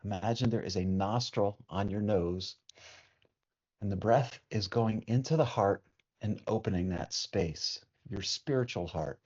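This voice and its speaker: A man leading a guided meditation as a teacher, speaking in a soothing, unhurried way with long pauses. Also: on the low side, a little mellow, breathy edge. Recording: dull, muffled lo-fi sound.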